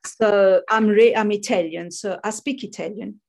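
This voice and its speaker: A woman's voice: mid-range voice, moderate pace, creaky, darkish tone. A middle-aged woman with a South African accent, a guest in a casual interview, talking in a rambling, chatty way and laughing often. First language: English. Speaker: guest, fear coach.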